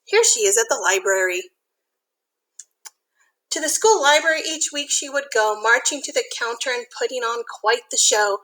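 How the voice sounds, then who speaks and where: A woman reading a children's picture book aloud in an animated, sing-song way, with chatty asides to young listeners like an elementary school teacher. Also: on the high side, moderate pace, rough recording.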